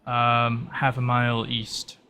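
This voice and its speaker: A man voicing a polite male shopkeeper in a tabletop roleplay, courteous and measured, with some hesitation. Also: mid-range voice, completely flat delivery, pushed and bright, a little hiss or hum. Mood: polite, helpful. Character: polite, well-presented male shopkeeper greeting customers.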